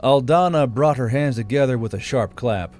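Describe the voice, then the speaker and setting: A man's voice: mid-range voice, normal speed, darkish tone. A man narrating a fantasy audiobook in a scripted storytelling style. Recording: music behind the voice.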